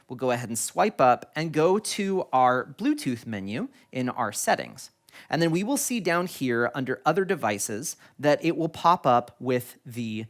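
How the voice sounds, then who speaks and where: Man with lively pitch giving tutorial. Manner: explanatory, step-by-step. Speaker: tutorial video host. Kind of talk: instructional product tutorial.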